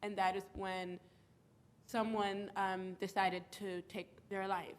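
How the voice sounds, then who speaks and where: Woman from the bronx with a stuffy cold, earnest and thoughtful panel talk, mid pitch. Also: flat delivery, slow. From United States (the Bronx, New York). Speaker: panelist, community organizer.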